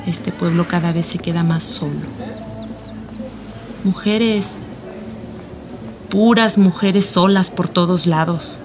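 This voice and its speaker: A woman's voice: fairly low pitch, slow, long pauses. An actress playing a bitter, gossipy middle-aged Mexican small-town mother with a biting manner in a radio drama. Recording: music behind the voice.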